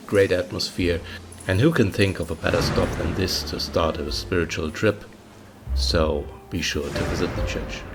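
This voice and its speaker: A man in his fifties with a German accent, narrating his travel vlog in a chatty way, often pausing with uh and um. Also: low voice. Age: 50s. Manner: chatty, pausing with many uh and um fillers. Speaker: travel vlogger. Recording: voice with music playing underneath.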